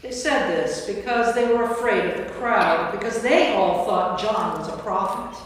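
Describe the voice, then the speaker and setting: A woman's voice: mid-range voice, measured pace. A woman reading scripted narration for a staged Bible reading. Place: large reverberant church. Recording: echoey church from far off, faint noise.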